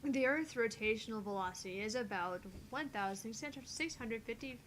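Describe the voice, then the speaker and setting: High-voiced woman reading game dialogue aloud. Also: expressive, moderate pace, fairly bright tone, a little hiss or hum. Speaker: co-host of a visual novel read-along.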